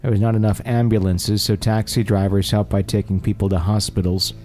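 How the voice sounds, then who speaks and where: A man's voice: on the low side, level tone, brisk, dark tone. A man hosting a podcast, chatting casually and reading listener stories aloud in an agreeable, conversational manner. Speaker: podcast host. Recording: music behind the voice. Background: music.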